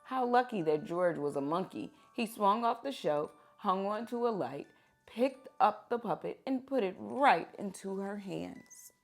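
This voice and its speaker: A woman reading picture books aloud to children in an expressive, deliberate teacher's manner, prompting them with questions. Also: mid-range voice, faint music behind.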